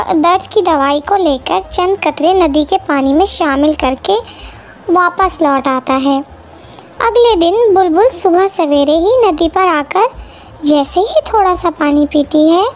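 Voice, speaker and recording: Lady telling a kids cartoon story, really high voice, slow scripted narration.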